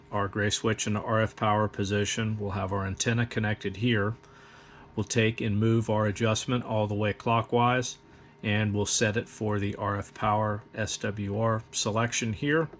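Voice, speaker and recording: A man's voice: on the low side, little pitch movement, normal speed, slight background noise. A man giving a plain, methodical, step-by-step instructional demonstration in a matter-of-fact way. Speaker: host of radio equipment demonstration.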